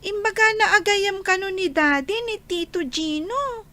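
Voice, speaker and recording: A curious, innocent young child , voiced by a woman, in an Ilocano radio drama. The voice is extremely high and bright, with a childlike, questioning delivery. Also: normal speed. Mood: curious, wistful.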